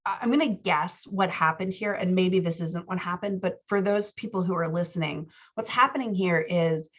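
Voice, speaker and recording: A woman with a lowish voice works through a writer's draft as a coach, explaining as she thinks aloud. Her delivery is conversational, checking in as she goes. The recording is dull and a little lo-fi. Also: moderate pace. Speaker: writing coach and podcast host. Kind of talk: conversational manuscript critique.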